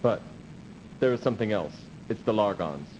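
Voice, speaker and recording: An actor playing a dutiful, cautious officer who gives formal, measured briefings in a video game cut-scene. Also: male voice, lowish voice, lively intonation, darkish tone, close to an everyday voice. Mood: formal, serious. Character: dutiful, cautious male officer briefing his space commander. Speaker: military adviser in a video game. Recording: dull, noisy old CD game audio.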